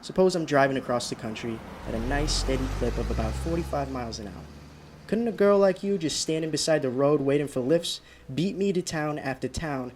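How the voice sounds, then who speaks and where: A man's voice: a little high, lightly acted. An actor playing a haunted, desperate young male driver in a radio drama, with an intense delivery that stammers under agitation. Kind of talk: radio drama acting with first-person narration. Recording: clearly noisy radio play recording.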